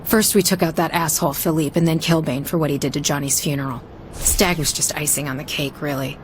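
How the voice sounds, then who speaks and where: A woman's voice: deep voice, steady tone, fairly quick, a little hiss or hum, close to an everyday voice. A woman acting a hardened, embittered gang lieutenant in a video game, blunt and jaded. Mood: bitter, wistful.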